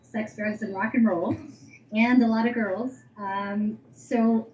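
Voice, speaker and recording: A woman's voice: a little high, fairly even intonation, takes it slowly, darkish tone. Lo-fi female musician in interview. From Lebanon. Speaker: singer-songwriter being interviewed. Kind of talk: casual interview chat. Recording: dull lo-fi link, faint noise.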